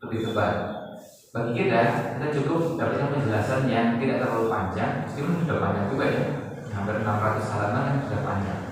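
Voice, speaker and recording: A man's voice: on the low side, fast. Indonesian guy teaching a religion class, explains step by step, super echoey and kinda far from the mic. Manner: didactic, step-by-step, asks and answers own questions. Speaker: Islamic teacher. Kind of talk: informal religious lecture, explaining and reading from a book. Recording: very echoey hall, distant mic, faint noise.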